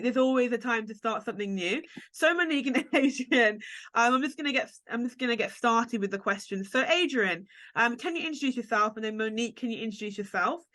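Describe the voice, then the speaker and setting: A woman's voice: a little high, a bit bright. A woman hosting an interview podcast, chatty and upbeat, talking to her guests and listeners with plenty of asides. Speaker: podcast host. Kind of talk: casual interview chat.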